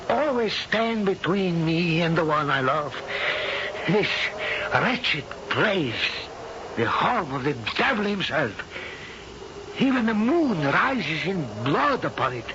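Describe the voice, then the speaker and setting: A man's voice: high-pitched, sing-song, background noise, exaggerated voice. A brooding nobleman. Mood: bitter. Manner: impassioned, slow and heavy. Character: brooding older Spanish nobleman, widower. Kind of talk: 1970s radio drama acting. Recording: dull old radio broadcast with music.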